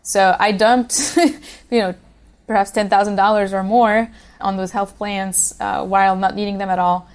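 A young woman from Switzerland speaks as a podcast guest, chatting easily and filling her pauses with ums and you-knows. Her pitch sits in a middle range. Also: little pitch movement. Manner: conversational, uses fillers like um and you know. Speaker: guest, policy program manager. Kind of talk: casual interview talk.

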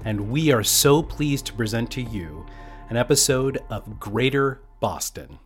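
A male podcast host delivers a scripted introduction that recommends a show to listeners. His voice sits at a middle pitch, with instrumental music playing underneath. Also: animated, a little slow.

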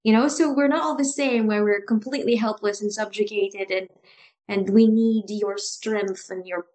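A woman co-hosting a casual talk about improv, animated and often restarting her sentences with a laugh. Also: mid-range voice, normal speed. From Philippines. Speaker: improv podcast co-host. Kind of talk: casual chat.

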